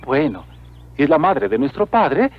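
An actor playing a humble, devout young peasant in an animated film, earnest and deferential, with a Mexican accent. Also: male voice, fairly high pitch, moderate pace. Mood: cheerful, earnest. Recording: dull lo-fi old film soundtrack.

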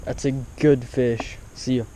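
A young man filming his own fishing, calling out excitedly as he hooks and lands fish by a pond outdoors, recorded on a head-mounted camera. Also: mid-range voice, unhurried, a little hiss or hum, poor audio. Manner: exclaims often while reeling in fish. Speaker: fishing vlogger. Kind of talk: excited casual commentary. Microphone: head-mounted action camera.

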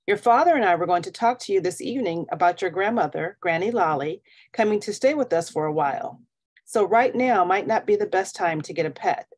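A woman's voice: on the low side, animated, a little fast, a little mellow. Lady reading as a mom in a kids book, caring but firm, reasoning w her kid. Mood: gently firm. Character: busy, caring but firm mother of a girl. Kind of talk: children's storybook reading.

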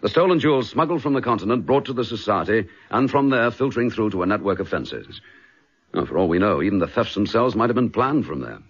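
An actor playing a brisk, courteous British chief inspector in a radio drama, with a husky voice. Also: male voice, lowish voice, very animated, heavily acted. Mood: keen, methodical. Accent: British English. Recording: dull lo-fi radio drama recording.

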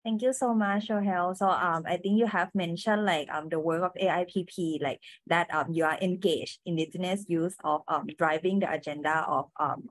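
A woman's voice: fairly low pitch, no room sound. A woman moderating an online panel in a hesitant way, with many fillers. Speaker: webinar moderator.

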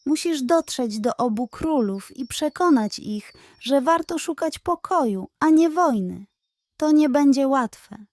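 Woman voicing an earnest little doll girl, raised pitch. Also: animated, measured pace, darkish tone, dry recording. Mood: earnest, encouraging. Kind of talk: children's storybook character voice.

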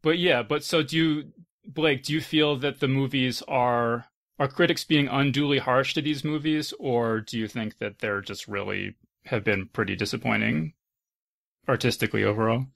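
Creaky-voiced male podcast host. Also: medium pitch, steady tone, normal speed, fairly bright tone. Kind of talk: casual moderated discussion.

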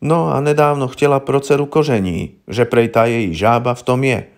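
A man voicing a wary, gruff old village herbalist woman in an audiobook, with blunt, knowing, rustic colloquial speech. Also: medium pitch, steady tone, voice pushed up, lightly acted. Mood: blunt, confiding. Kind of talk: audiobook character voice.

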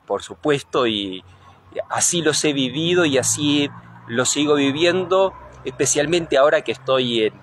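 A man's voice: mid-range voice, fairly bright tone, a little hiss or hum. A middle-aged Argentine man, a priest being interviewed, speaking reflectively and earnestly, often searching for his words. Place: outdoors in front of a church. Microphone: handheld reporter microphone.

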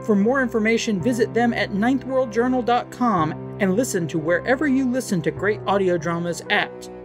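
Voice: mid-range voice, moderate pace. An announcer reading scripted credits for an audio drama podcast. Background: music.

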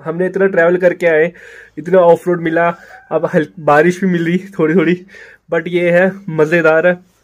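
A man's voice: fairly high pitch, little pitch movement, unhurried, no room sound, studio quality. A young man chatting casually to his phone camera on a cold, rainy hillside, vlogging a motorbike trip. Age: young adult. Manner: chatty, talks to camera while riding trip. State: feeling cold. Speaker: travel vlogger and biker. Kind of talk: casual travel vlog. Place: outdoors on a rainy hillside camp. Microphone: handheld phone selfie.

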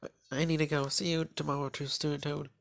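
Man as bossy anime schoolgirl, lifted pitch, hushed, insistent, secretive, visual novel. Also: level tone, fast, vocal fry. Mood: flustered.

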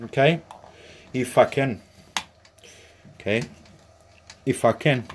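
A man giving an informal hands-on demonstration as a tutorial host, speaking in a halting, hesitant way. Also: mid-range voice, slight background noise. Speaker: host of a hobby radio tutorial. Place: small indoor workbench area.

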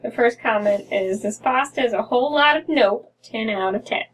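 A woman's voice: fairly high pitch, normal speed, fairly bright tone, a little hiss or hum. A young American woman co-hosting, switching between casual banter and reading stories aloud. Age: young adult. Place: small dim room indoors. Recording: somewhat distant from mic.

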